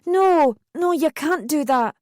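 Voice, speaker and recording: A woman's voice: high voice, animated, takes it slowly, a little mellow, dry recording, clean studio sound, pitched above the performer's own voice. A woman voicing a distraught teenage girl in an audiobook, urgent and pleading with a raised voice. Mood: desperate pleading. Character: distraught teenage sister, panicked and desperate.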